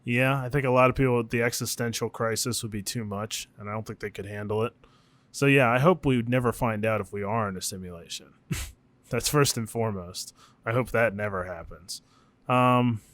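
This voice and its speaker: A man's voice: fairly low pitch, brisk, fairly bright tone, no echo. A man co-hosting a podcast in an informal, musing, chatty way with the odd casual swear word. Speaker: podcast co-host.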